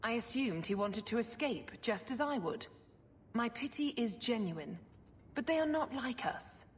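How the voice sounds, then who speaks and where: An actress playing a zealous, scheming religious sister in a video game, poised, formal and condescending. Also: female voice, a little high, expressive, measured pace, slight background noise. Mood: cold conviction. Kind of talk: video game cutscene acting. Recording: long hall reverb, music behind.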